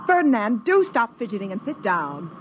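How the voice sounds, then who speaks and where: An actress playing a prim, patient older sister who coaxes and chides children in a 1950s radio drama. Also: female voice, medium pitch, very animated, normal speed, close to an everyday voice. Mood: chiding. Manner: proper, coaxing, gently chiding. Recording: dull, noisy vintage radio recording.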